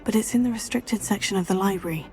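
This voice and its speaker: A woman's voice: medium pitch, fast, darkish tone, soft background music, close to an everyday voice. A woman playing a polite, earnest, determined teenage student witch in a video game. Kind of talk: video game character acting.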